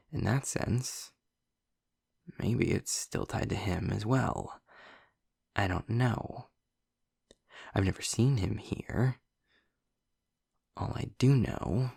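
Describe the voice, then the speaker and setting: Man playing a slow, gravelly, sardonic demon. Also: a little mellow, no room sound, studio quality, full character voice. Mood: brooding, explaining, then irritated. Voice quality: gravelly, husky low voice with breathy edges. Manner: sarcastic, deliberate, drawn-out phrasing. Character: snarky, sardonic male demon trapped in hell. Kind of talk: scripted audio roleplay addressed to the listener.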